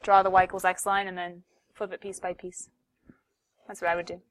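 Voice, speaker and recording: A woman's voice: fairly low pitch, flat delivery, brisk, slight background noise. A woman math teacher giving a classroom lesson, playful and chatty, with sudden exclamations.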